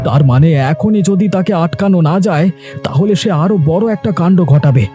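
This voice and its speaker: A man's voice: high-pitched, expressive, a little fast, mellow. An actor playing a loyal village man in a cartoon, speaking in an earnest, grave and deferential manner. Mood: shocked, resolute. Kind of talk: dubbed cartoon dialogue. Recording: music under the voice.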